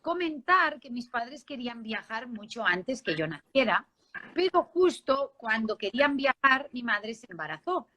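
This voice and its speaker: Bright, high-voiced woman with Barcelona accent. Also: sing-song, measured pace. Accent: Spanish from Barcelona. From Spain, Barcelona. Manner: conversational, seeks agreement with tag questions. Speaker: interview guest telling her life story. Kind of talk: informal autobiographical storytelling.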